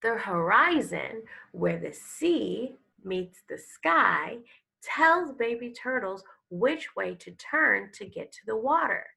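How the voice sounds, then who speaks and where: A woman teacher reading a picture book aloud to young pupils, expressive and instructive, pausing to explain and ask questions. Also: on the high side, gravelly, husky, rough recording. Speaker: elementary school teacher.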